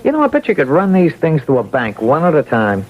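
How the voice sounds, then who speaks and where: A cocky, streetwise crook speaks in impatient slang with lively swings of pitch. The sound is a tinny vintage radio play. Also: male voice, fairly quick. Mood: bossy, cocky. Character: brash, bossy, streetwise male counterfeiter. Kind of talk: 1970s radio drama acting.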